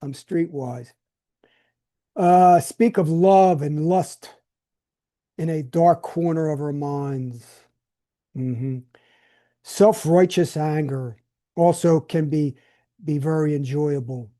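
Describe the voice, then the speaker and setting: A man's voice: a little high, slow pace, long pauses, mellow. An older man hosting a podcast, talking bluntly in a loose solo monologue and often restarting his words. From New Jersey. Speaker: podcast host. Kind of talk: casual monologue.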